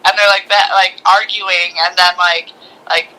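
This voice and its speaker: Casting: film-chat co-host, strikingly bright tone, informal and excitable storytelling with acted-out quotes. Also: medium pitch, unhurried, rough recording. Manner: acts out quoted dialogue with excited slang. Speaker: podcast co-host reviewing films. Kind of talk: casual chat, animated recapping.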